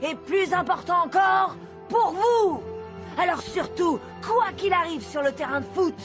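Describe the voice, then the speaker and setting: A woman's voice: very high-pitched, lively intonation, a little slow, full character voice. A woman voicing a resourceful young boy in a cartoon, rallying his teammates with bossy, rousing pep talk. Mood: rousing, solemn. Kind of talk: French animated series voice acting. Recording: music under the voice. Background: loud background music.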